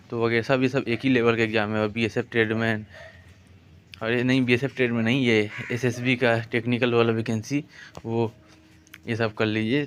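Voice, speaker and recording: A man's voice: medium pitch, a little hiss or hum. A man giving informal exam advice as a coach, repeating his instructions and addressing students directly.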